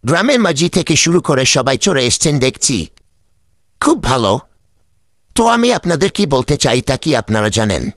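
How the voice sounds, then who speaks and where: A man's voice: mid-range voice, very animated, normal speed, close to an everyday voice. A man playing a droll, wily village wise man in a cartoon, often repeating his phrases. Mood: knowing. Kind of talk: animated cartoon dialogue.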